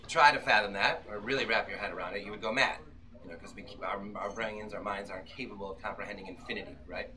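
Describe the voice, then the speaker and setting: A man's voice: lowish voice, background noise. A man in his thirties speaking as an author at an informal live reading in a small indoor shop. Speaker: author reading from own novel. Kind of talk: informal talk and dramatic novel reading. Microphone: far camera among the audience. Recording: distant camera mic, music playing. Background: music.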